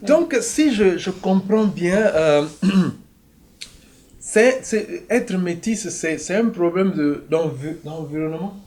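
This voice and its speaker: Higher-voiced man hosting a talk. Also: animated, takes it slowly, a little mellow. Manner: affirming, completes guests' sentences. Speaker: host. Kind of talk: interviewing.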